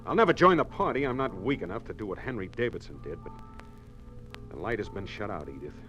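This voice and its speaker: Grave, resolute husband, dramatic 1950s radio acting, widely varied pitch. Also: male voice, sing-song, quick pace, sharp tone, dull audio, soft background music. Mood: grim, resolute. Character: grave, principled husband resisting a regime.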